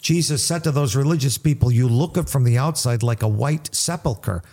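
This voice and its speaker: Mellow-voiced man with reflective stories. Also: medium pitch, brisk. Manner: reflective, tells personal stories to make his point.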